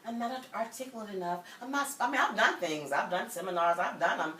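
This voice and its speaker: A woman's voice: mid-range voice, fast talker, sharp tone. A woman guest sharing her testimony in an interview, emphatic and affirming, often repeating phrases. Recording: roomy, distant mic, faint noise.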